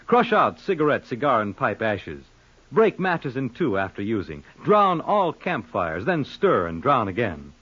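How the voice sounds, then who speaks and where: Male announcer, 1940s radio style, lively intonation, husky edge, scripted delivery, dull lo-fi recording. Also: medium pitch, unhurried.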